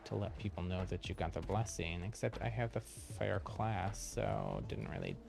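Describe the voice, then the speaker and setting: Man, gravelly low voice, easygoing game streamer, thinking aloud, clear music behind. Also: expressive, moderate pace. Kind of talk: casual live gaming commentary.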